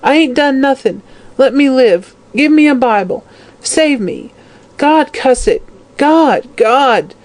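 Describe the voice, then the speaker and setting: Woman playing dying old hag, high shrill voice, harsh, greedy, terrified, wailing and pleading, cursing, audiobook storytelling. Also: expressive, a little slow, a little mellow, natural voice. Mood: terrified whimpering.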